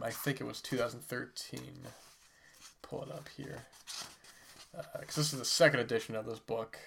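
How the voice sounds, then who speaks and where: A man's voice: mid-range voice, creaky, fairly bright tone. A man who is sick and stuffy, hosting a podcast and casually reviewing a book in a rambling way with many fillers. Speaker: podcast host.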